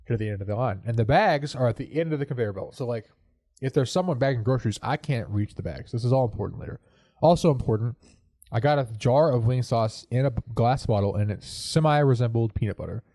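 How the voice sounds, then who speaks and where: A man's voice: medium pitch, warm tone, dry recording. A man with a congested, stuffed-up voice hosting a podcast, chatting casually and telling an anecdote. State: congested from a cold. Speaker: podcast host. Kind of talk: casual chat and anecdote storytelling. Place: living room corner home studio.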